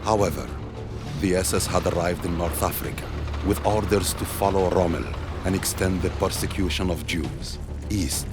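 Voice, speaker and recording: An actor playing a grave man who recalls the war in North Africa, narrating a game cutscene deliberately over loud battle sounds. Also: low-pitched, fairly even intonation, over music, lightly acted. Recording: loud war ambience, artillery and vehicles behind.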